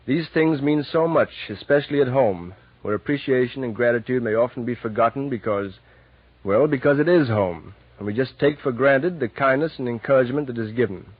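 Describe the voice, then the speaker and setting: Husky man on thin vintage radio. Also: medium pitch, fairly quick, no room sound. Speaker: program host. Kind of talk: earnest scripted radio message. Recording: thin, narrow-band 1940s radio recording.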